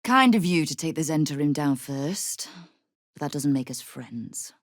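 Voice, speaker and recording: A woman's voice: low voice, lively intonation, unhurried, dry recording, clean studio sound. An actress playing a tough, sardonic woman who runs a crime guild in a video game, speaking in a wry, commanding, menacing way. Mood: cool menace. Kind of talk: dramatic video game character dialogue.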